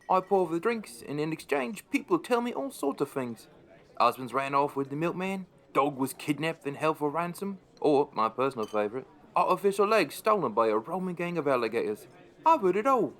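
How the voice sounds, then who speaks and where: An actor playing a wry, chatty pub landlord, narrating his story in a conversational way for an audio drama. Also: on the high side.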